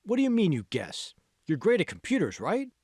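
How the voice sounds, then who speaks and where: A man's voice: sits high, huge pitch swings, a little fast, darkish tone, husky, no echo, clean studio sound, pitched above the performer's own voice, full character voice. A man voicing a confident, encouraging college friend in an audiobook, prodding and persuasive. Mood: insistent encouragement. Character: confident, encouraging young male college friend, insistent coach. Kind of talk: audiobook character dialogue.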